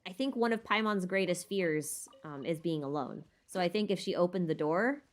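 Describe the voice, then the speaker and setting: A woman with a slightly low-pitched voice talks as a livestreamer in a loose, casual style. She thinks out loud, rambling and tentative, as if working out her ideas while she speaks. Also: normal speed. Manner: thinking aloud, tentative and musing. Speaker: streamer hosting a lore Q&A. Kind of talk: casual rambling livestream chat about game lore.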